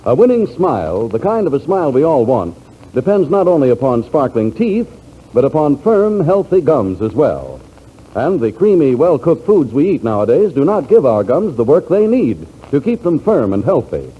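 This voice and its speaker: A man's voice: mid-range voice, very animated, normal speed, breathy edge. An American man announcing and reading scripted advertising in a 1940s radio style. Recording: dull, faintly noisy 1940s radio recording.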